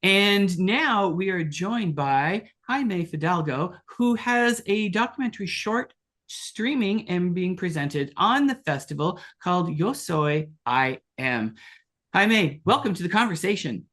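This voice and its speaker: A man hosting a conversation with filmmakers for a film festival, asking halting questions with fillers and restarts. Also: sits high, expressive, fairly bright tone. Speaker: festival interview host.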